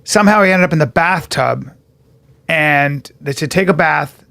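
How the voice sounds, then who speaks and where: A man in his fifties, a podcast co-host telling stories in animated casual chat. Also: on the high side, moderate pace, creaky. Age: 50s. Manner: animated storyteller, slips into voices.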